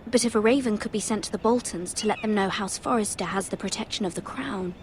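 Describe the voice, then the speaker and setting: A woman's voice: mid-range voice, fast, a little hiss or hum, natural voice. A woman playing an earnest young noble handmaiden in a video game, speaking politely and carefully, with anxious deference. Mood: anxious, pleading. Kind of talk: cinematic video game voice acting.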